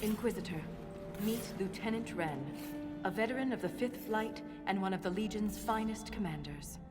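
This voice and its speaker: An actress playing a composed, earnest explorer of underground ruins in a video game cutscene, speaking in a measured, formal way. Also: female voice, medium pitch, close to an everyday voice. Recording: long cavern reverb, loud music behind.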